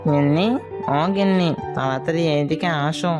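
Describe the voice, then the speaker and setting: A man's voice: on the high side, moderate pace, music in the background, natural voice. A man playing a hopeful, stubborn village husband in a children's cartoon, speaking in a coaxing, homely way. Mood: calling.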